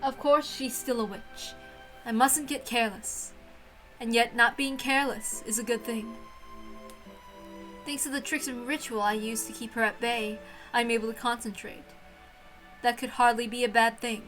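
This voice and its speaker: A woman reading the inner thoughts of a young servant girl as measured, reflective first-person visual novel narration. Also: a little high, fairly even intonation, fairly bright tone, natural voice, rough recording. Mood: musing. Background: music.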